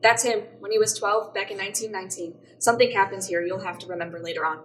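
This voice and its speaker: A woman playing a brisk, knowing angel who guides and narrates in a radio play. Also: medium pitch, steady tone, a bit bright, slight background noise, natural voice, poor audio. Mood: brisk, guiding. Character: brisk, knowing assistant angel guiding a newcomer.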